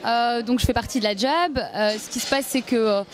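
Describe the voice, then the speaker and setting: High-voiced young woman, halting. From Algeria, M'sila province. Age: young adult. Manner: hesitant, many fillers and restarts. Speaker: youth association member. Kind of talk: on-camera interview at an event. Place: indoor event hall. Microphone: handheld mic.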